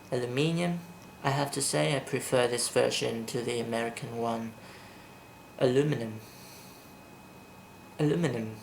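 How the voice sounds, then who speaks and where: Vlogger, male, Vietnamese accent, unhurried delivery, rough fry in the voice, speaking softly at home at night. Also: medium pitch, slight background noise. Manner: slow and deliberate, blunt when opinionated. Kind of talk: casual video blog, reading a quiz and ranting. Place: indoors at home at night, kept quiet.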